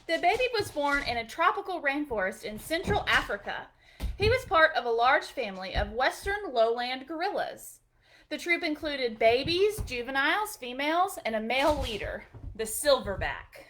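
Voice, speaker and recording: A woman's voice: sits high, very animated, moderate pace, a bit bright, slight background noise. A woman hosting a storytime, reading a picture book aloud expressively for children, with short chatty asides.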